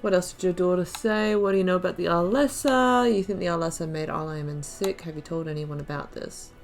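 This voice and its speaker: A woman's voice: fairly low pitch, brisk, soft background music. A woman hosting a casual let's play, chatting along and reading dialogue options aloud. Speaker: gaming video host.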